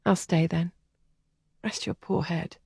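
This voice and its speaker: A woman narrator voicing a composed, caring female companion who speaks gently and matter-of-factly. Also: lowish voice, fairly even intonation, slow, creaky, breathy edge, no echo, exaggerated voice. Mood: gentle. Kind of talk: audiobook character voicing.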